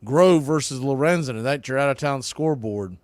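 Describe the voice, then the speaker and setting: A man's voice: mid-range voice, lively intonation, brisk, darkish tone, no room sound. A man calling a baseball game play by play in clipped phrases that build on big plays. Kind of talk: live play-by-play commentary.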